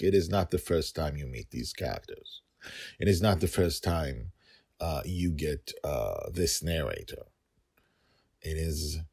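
Man, gravelly tone, dry close sound, rambling emphatic monologue, writer hosting his podcast. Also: very deep voice, darkish tone, no echo. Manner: rambling, emphatic, thinking aloud.

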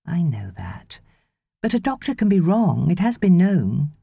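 A woman voicing a gentle, wistful young wife and mother in an audiobook, softly and hesitantly pleading. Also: on the low side, sing-song, a little slow, creaky, breathy edge, dry recording, studio quality, pitched above the performer's own voice, heavily acted. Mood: quietly pleading.

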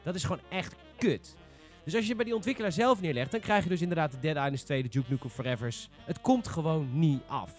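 Male podcast host, Netherlandic Dutch accent. Fast, slightly high voice, informal and argumentative, faint music underneath. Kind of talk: casual opinionated podcast discussion.

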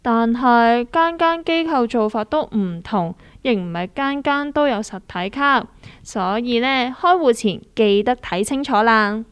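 A woman's voice: fairly high pitch, measured pace, clean tone, no room sound, faint music behind, natural voice. A woman with a Hong Kong accent playing a self-assured, trend-chasing small investor who explains things showily in a comic radio drama. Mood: explaining.

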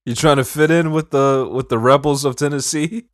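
A man's voice: mid-range voice, steady tone, moderate pace, no room sound, clean studio sound. A man from Detroit with a Detroit accent, co-hosting a casual podcast chat in a laid-back, profane way. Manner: laid-back, swears, repeats "bro". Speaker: podcast co-host.

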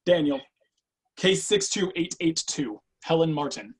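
A man playing a formal, probing caseworker in a naturalistic screenplay reading, speaking in a measured, businesslike way. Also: fairly high pitch, animated, husky. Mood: tense, dutiful. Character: formal, probing male caseworker, controlled, secretly dutiful agent. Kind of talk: screenplay table read, naturalistic acting.

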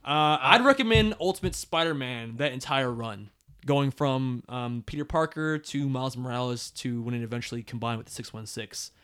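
A man's voice: mid-range voice, moderate pace, a bit bright, clear, resonant tone. A man co-hosting a casual podcast, reading listener emails aloud and joking, often laughing. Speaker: podcast co-host.

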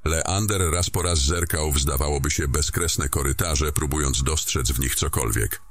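A man narrating a scripted fantasy story in a descriptive, deliberate storytelling manner. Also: extremely low pitch.

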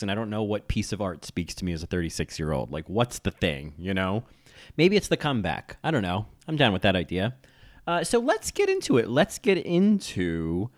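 A man in his thirties hosting a solo podcast, chatting in a rambling way with lots of ums and restarts. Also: low voice. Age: 30s. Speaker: podcast host. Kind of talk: casual solo podcast chat.

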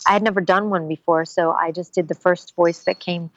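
Animated woman voice actress, slightly low voice. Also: fairly quick, no echo, highs cut off. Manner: animated, slips into character voices. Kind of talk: casual interview talk.

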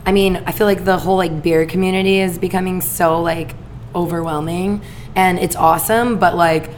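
A raspy-voiced woman co-hosts a casual podcast in a low, flat tone. She chats loosely and leans on "like" and "um" between thoughts. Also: normal speed, slight background noise. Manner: chatty, filler-heavy with lots of "like". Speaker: podcast co-host.

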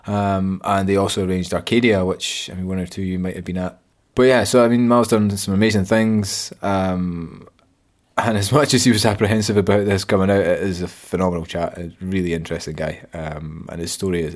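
A man's voice: sits low, fairly even intonation, a little fast. A man hosting a podcast, introducing the episode in a loose, chatty way with many fillers. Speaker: podcast host. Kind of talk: casual podcast intro and interviewing.

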